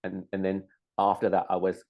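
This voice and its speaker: A middle-aged man, born in Hong Kong, chatting casually, hesitant with lots of ums and restarts and quick to laugh. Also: deep voice, completely flat delivery, narrow, tinny audio. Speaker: co-host. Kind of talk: casual chat.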